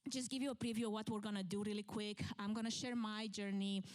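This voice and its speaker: A woman's voice: mid-range voice, steady tone, quick pace. A middle-aged woman from Romania with a Romanian accent, giving a motivational conference talk in an earnest, conversational way. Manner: earnest, conversational, with fillers and restarts. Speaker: keynote speaker. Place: conference room with audience.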